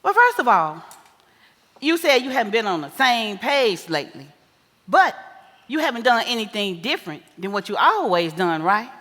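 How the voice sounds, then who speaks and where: An actress playing an older American mother on stage, folksy, wise, teasing and blunt, with drawn-out emphatic phrasing. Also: on the high side, sing-song, a bit bright. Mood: patient, advising. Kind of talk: stage play acting. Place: live stage in a reverberant hall. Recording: echoey theater hall.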